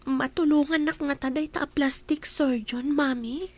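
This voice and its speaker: A woman's voice: sits high, fairly even intonation, moderate pace, a little mellow, natural voice. A young woman acting an insecure daughter in an Ilocano radio comedy drama. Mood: hesitant, worried. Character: insecure young daughter anxious to fix her looks.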